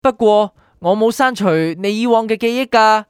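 Woman acting a high-voiced, deferential memory doctor. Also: steady tone, normal speed, lightly acted. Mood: calm, confiding. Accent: Hong Kong Cantonese. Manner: formal, apologetic, deferential. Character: polite, evasive female memory-department doctor, deferential to superiors. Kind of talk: radio drama acting. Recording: music bed behind the voice.

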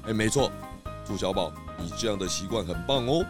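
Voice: low voice, expressive, fairly quick, over music. Kindly, food-loving pig detective. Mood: cheerful, admiring. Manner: exclamatory, encouraging. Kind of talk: children's audio drama acting.

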